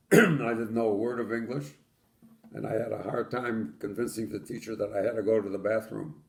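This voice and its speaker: A man's voice: fairly low pitch, level tone, normal speed, gravelly, a little mellow. An older man from Poland, telling his childhood memories in an oral history interview with dry, matter-of-fact humor.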